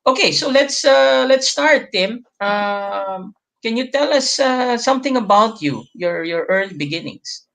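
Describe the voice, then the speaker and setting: A man hosting an online livestream interview, talking in a halting way with many fillers and restarts. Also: sits high, expressive, vocal fry, fairly bright tone. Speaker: host.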